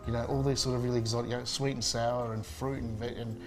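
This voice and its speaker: A man's voice: medium pitch, level tone, fast, music in the background. A man, a chef chatting casually and enthusiastically in an interview, with many fillers and restarts. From Spain.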